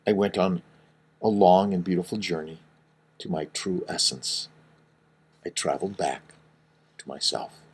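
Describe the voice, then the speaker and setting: Low, husky man reading gently. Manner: slow, soothing, earnest delivery with falling phrase endings. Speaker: fertility doctor reading his book. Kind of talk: reading aloud from his own book.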